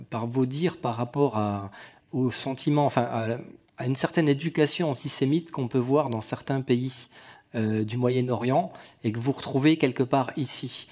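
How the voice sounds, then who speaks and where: Male rapporteur, mid-pitched, measured questions with fillers. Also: a little mellow. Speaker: committee rapporteur. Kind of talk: formal questioning at a hearing. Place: parliamentary committee room.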